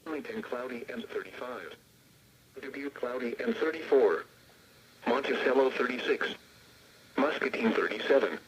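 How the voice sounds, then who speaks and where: A man's voice: medium pitch, animated, moderate pace, long pauses, slight background noise, low-quality recording. An automated male announcer reading a weather report, heard through a radio speaker. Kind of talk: flat weather report readout. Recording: husky, rough radio broadcast playback.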